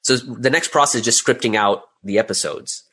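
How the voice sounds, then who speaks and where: A man's voice: mid-range voice, fairly quick, sharp tone, husky. A man speaking as an interview guest, a creator explaining his process step by step in a conversational way and posing rhetorical questions as he goes.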